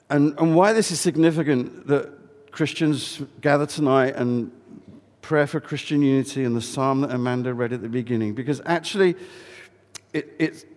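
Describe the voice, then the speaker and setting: A man's voice: medium pitch, a little mellow. Chatty man speaking in an echoing church. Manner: friendly, conversational, with many fillers and restarts. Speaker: guest speaker, charity chief executive. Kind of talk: informal address at a church service. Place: large old church building. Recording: reverberant hall.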